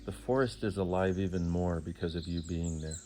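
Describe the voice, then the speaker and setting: Deep-voiced man guiding a meditation. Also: steady tone, darkish tone, faint music behind. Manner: soothing, deliberate, with short phrases and long pauses. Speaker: meditation guide. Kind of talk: guided meditation.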